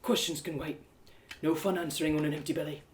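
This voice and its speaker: A woman's voice: low voice, fairly even intonation, quick pace, reverberant, a little hiss or hum, close to an everyday voice. A woman voicing a kind, friendly young man, an innkeeper's son in a storybook reading, with solicitous, colloquial phrasing. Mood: gentle concern.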